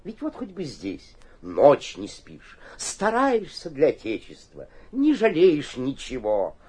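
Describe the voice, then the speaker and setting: A husky, fawning, cunning town mayor. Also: male voice, fairly high pitch, slow, full character voice. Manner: fawning flattery with muttered suspicious asides. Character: obsequious, cunning provincial town mayor, privately scornful. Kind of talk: theatrical radio drama acting.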